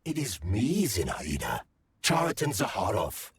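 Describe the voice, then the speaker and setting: A man's voice: lowish voice, wildly swooping pitch, measured pace, husky, heavily acted. A man playing a sly scientist turned into a talking blob, theatrical and wry, in a video game cutscene. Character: wry, scheming male scientist turned into polymer goo.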